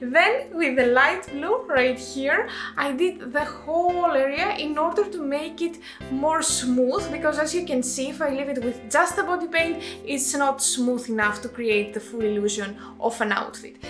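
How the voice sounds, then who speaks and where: A woman's voice: high voice, unhurried. A woman from Greece with a Greek accent, talking through a makeup tutorial in a chatty, explanatory way and stopping now and then to correct herself. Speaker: makeup artist and channel host. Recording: echoey room with faint music.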